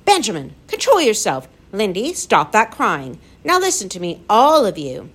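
A stern but steady gentleman professor, voiced by a woman narrator, watching over children. He admonishes firmly in measured phrases with expressive pitch, in a storybook style.

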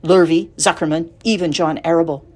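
A woman's voice: low voice, steady tone, fairly quick, darkish tone. A woman voicing a gossipy old female sheep in a storybook, matter-of-fact, snappish and world-weary. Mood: grimly blunt.

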